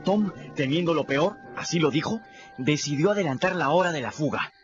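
A man's voice: on the high side, normal speed, lo-fi sound, soft background music, close to an everyday voice. An actor narrating as a plain-spoken boy in a radio drama, with a wry storytelling manner.

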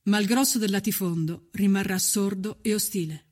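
A woman narrating a scripted historical documentary with solemn, deliberate, measured phrasing. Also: medium pitch, a little mellow.

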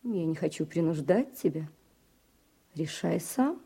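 Radio-play young woman: gentle, persuasive, mellow timbre with a husky edge. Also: sits low, animated, unhurried, full character voice. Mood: tentative, coaxing. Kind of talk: Soviet-era radio drama acting.

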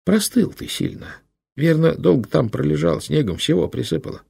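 Man voicing a gentle, talkative old monk. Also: on the high side, animated, moderate pace, vocal fry, breathy edge, dry recording, pitched above the performer's own voice, exaggerated voice. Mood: soothing. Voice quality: soft, kindly, soothing.

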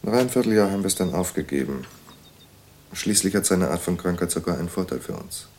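A clinic doctor in a radio drama, with a very low voice. He is measured, factual and a little wry, speaking naturally over noticeable ambient noise. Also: expressive, darkish tone. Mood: matter-of-fact, wry. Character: male psychiatric clinic doctor, matter-of-fact, dryly wry. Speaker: actor in an audio drama. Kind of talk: naturalistic audio drama dialogue.

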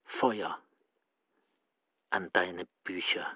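An actor in a radio play playing a grave, philosophical inner voice, speaking in a deliberate, emphatic, rhetorical way. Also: male voice, deep voice, fairly even intonation, pushed and bright, husky, dry recording, clean studio sound, heavily acted. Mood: ominous. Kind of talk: radio drama acting, literary monologue.

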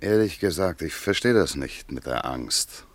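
An actor playing a sceptical village doctor in a German radio drama, dismissive and grumbling, with little patience for superstition. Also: male voice, low voice, lively intonation, a little slow, no echo. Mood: sceptical, puzzled.

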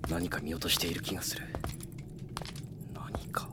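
An actor playing a vigilant, commanding cavalry captain in a Japanese radio drama, given to orders and brooding asides. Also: male voice, little pitch movement, moderate pace, in a whisper, close to an everyday voice. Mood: brooding, suspicious. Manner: barks orders, broods in low mutters.

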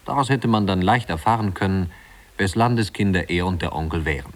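A man with a very low voice narrates an old radio play. He delivers scripted literary prose as a storyteller. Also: brisk.

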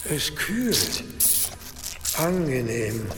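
An actor playing a frail, anxious, learned elderly abbot in a German audio drama, speaking haltingly with gasps. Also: male voice, medium pitch, sing-song, background noise. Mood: relieved wonder. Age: older. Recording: long cave-like reverb, music underneath.

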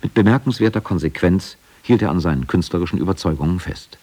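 Male documentary narrator, very low voice, scripted radio feature delivery. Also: quick pace, darkish tone, husky.

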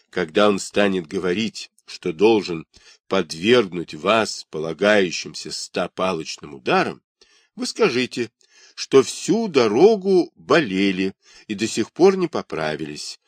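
A man's voice: on the low side, dry recording, clean studio sound. A man voicing a talkative, ingratiating male prison overseer in a read-aloud story. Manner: chatty, flattering, reassuring.

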